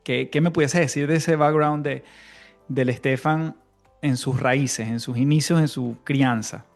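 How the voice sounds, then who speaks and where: A man's voice: medium pitch, steady tone, measured pace, gravelly, faint music behind. Thoughtful male podcast host. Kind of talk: casual interview.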